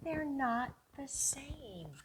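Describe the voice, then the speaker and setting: A woman's voice: high voice, big pitch swings, extremely slow, slight background noise. A woman hosting a children's storytime, reading aloud expressively and coaxingly putting questions to young listeners.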